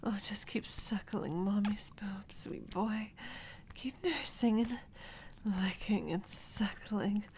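A woman whispering softly and breathily into a close microphone, soothing and teasing in an intimate audio roleplay. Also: mid-range voice, takes it slowly, a little hiss or hum.

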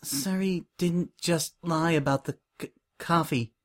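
A man's voice: fairly high pitch, animated, unhurried, pitched above the performer's own voice. A man voicing a meek, anxious young female pony assistant who stammers and pleads shakily in a story reading. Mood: meek, nervous. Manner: stammering, shaky, breathless pleading.

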